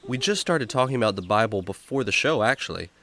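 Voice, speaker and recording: A man's voice: lowish voice, animated, quick pace, sharp tone, a little hiss or hum. A man playing an upbeat, friendly jungle explorer who hosts stories in a children's radio drama. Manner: showman-like, friendly, emphatic. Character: upbeat jungle explorer man hosting a kids' story show. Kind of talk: kids' radio drama acting.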